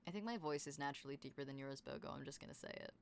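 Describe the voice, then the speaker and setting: A woman's voice: deep voice, racing, gravelly, slight background noise. A young woman in casual voice-call chat as a tabletop game player, rambling with many fillers. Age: young adult.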